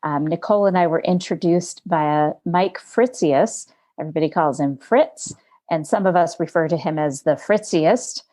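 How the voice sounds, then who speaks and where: A woman with a lowish, mellow voice hosts an interview podcast. She talks in an easy conversational way and searches for her words with small fillers. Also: moderate pace. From United States. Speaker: podcast host. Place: home studio in Montana.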